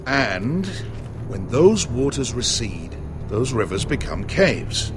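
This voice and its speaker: An actor playing an anxious, bookish middle-aged uncle in a video game drama, articulate and dryly pedantic. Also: male voice, medium pitch, sing-song, takes it slowly, noisy background. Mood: earnest, explaining. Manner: dry, articulate, faintly pedantic.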